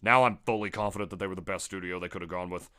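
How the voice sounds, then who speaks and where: A man with a deep voice co-hosting a casual comedy podcast, ranting profanely and trading crude jokes. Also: fairly even intonation, very fast, bright, forceful tone, smooth. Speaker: podcast co-host.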